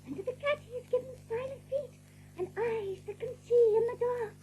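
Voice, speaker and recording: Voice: very high voice, normal speed, darkish tone, a little hiss or hum, poor audio. An actor playing an ominous herald, proclaiming dire news in a dramatic storytelling style. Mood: ominous, mocking.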